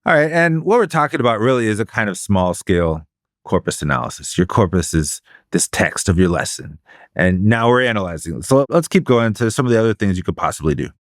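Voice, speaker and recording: A man co-hosting a podcast, explaining study methods in a measured, casual way. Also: lowish voice, expressive, no echo, studio quality. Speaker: podcast co-host.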